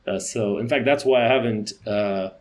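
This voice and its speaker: A man from Romania, a company CEO in his thirties, talking as a guest in a casual podcast interview, quick and full of restarts. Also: on the low side.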